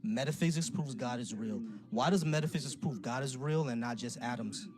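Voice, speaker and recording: A man's voice: medium pitch, brisk, a crowd in the background. A man hosting a casual call-in debate stream, talkative and loose, laughing easily. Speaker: livestream host. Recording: noisy livestream audio.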